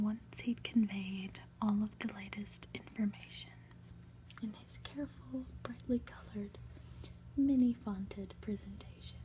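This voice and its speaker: A woman reading a story aloud for ASMR in a soft, breathy whisper, hushed and deliberate, with pauses. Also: fairly high pitch, slight background noise, low-quality recording. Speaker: host reading a book aloud.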